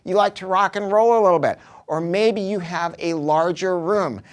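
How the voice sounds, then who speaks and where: A man's voice: sits high, normal speed. A man giving a casual product review, talking informally and emphatically with some salty language.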